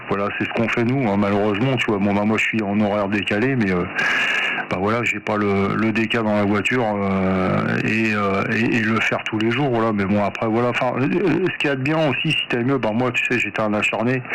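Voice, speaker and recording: A man's voice: lowish voice, a bit bright. A man chatting casually over amateur radio, in a rambling, repetitive, informal way. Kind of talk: casual radio contact chat. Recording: noisy radio transmission with clicks.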